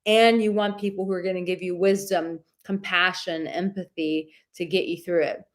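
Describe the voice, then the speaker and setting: A woman with a slightly low, soothing voice hosts a live advice stream. She chats warmly and affectionately with viewers, reading out their comments with lots of casual filler words. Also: steady tone, moderate pace. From Czech heritage.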